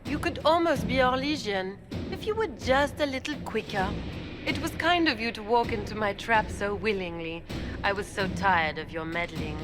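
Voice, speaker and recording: A woman's voice: mid-range voice, expressive, moderate pace, close to an everyday voice. Woman voicing a smug noble villainess. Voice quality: silky, condescending. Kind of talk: video game cutscene dialogue. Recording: music playing behind.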